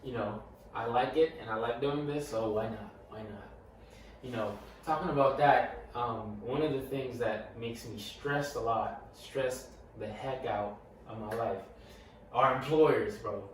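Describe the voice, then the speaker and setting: A man's voice: mid-range voice, measured pace. A young man co-hosting a casual podcast, telling a story animatedly and stuttering and repeating words. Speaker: podcast co-host. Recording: echoey room, mic far off, faint noise.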